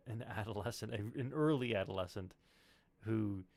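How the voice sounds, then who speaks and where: Lower-pitched man, measured and careful. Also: darkish tone, dry recording. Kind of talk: casual discussion.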